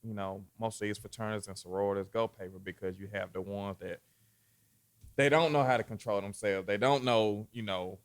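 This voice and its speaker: A man in casual conversation, telling an unhurried story and often saying "you know". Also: fairly low pitch, steady tone, smooth. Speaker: guest. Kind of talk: casual chat.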